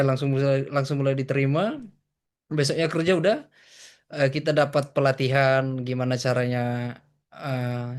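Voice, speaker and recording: Male podcast guest, twenties. Medium pitch, little melodic movement, casual and slightly hesitant. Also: a little mellow. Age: 20s. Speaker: podcast guest, tour guide. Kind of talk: casual interview answers.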